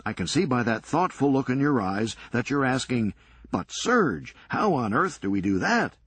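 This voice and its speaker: A man voicing a cool-headed, reckless ringleader in a crime story, brisk and unbothered. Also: medium pitch, big pitch swings, a bit bright, slightly rough, no room sound, pitched above the performer's own voice. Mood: scheming. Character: unflappable, cheerfully reckless male ringleader.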